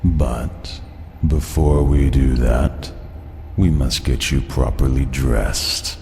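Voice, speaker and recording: A man playing an ancient, menacing entity that possesses its victim, gloating in an ominous horror audio drama monologue with whispery drawn-out words. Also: rumbling low, steady tone, vocal fry, warm tone, faint music behind, exaggerated voice. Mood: gloating menace.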